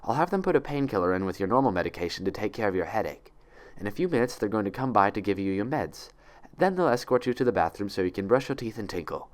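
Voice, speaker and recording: A man voicing an older female unicorn nurse in a story, brisk, matter-of-fact and cheerful. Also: lowish voice, expressive, natural voice.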